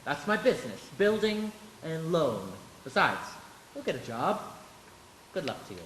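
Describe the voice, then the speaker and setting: Decent, earnest small-town everyman, male actor, higher bright radio-play voice in a reverberant hall. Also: very animated, unhurried. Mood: kindly, teasing. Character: kindly, earnest small-town building-and-loan man. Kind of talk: live radio play acting. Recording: echoey hall, faint hiss.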